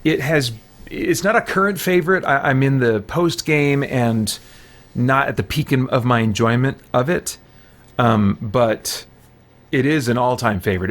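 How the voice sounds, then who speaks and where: A man chatting casually on a gaming livestream, thinking aloud in a rambling, hesitant way and sounding tired late in a marathon. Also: medium pitch. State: tired near end of all-night marathon. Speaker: game streamer.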